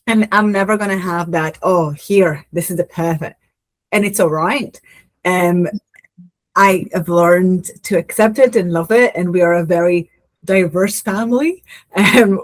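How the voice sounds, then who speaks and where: Israeli-accented woman hosting a podcast, thoughtful and earnest, mid pitch. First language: Hebrew. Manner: earnest, reflective, and supportive. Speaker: podcast host. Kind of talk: interview conversation.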